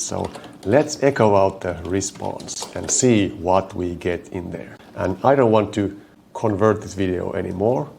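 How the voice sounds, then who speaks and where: A man from Finland with a Finnish accent, talking through his code as he types, slowing down to spell out each command. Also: lowish voice, creaky, darkish tone, slight background noise. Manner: thinks aloud while typing, slows to spell out code. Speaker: coding tutorial host. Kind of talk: live coding walkthrough.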